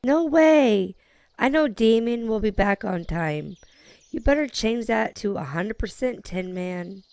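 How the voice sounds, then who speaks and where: Slow-talking, earnest girl played by a woman. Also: a little high, lively intonation, darkish tone, no room sound. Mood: defiant. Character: earnest, feisty girl, a loyal young friend. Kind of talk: scripted Minecraft roleplay acting.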